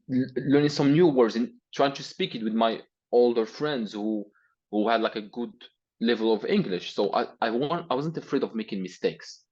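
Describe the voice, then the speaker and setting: Blunt Arabic-accented man, husky voice. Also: mid-range voice, a little mellow, lo-fi sound. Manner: blunt, profane, emphatic. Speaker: guest. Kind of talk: interview, motivational rant.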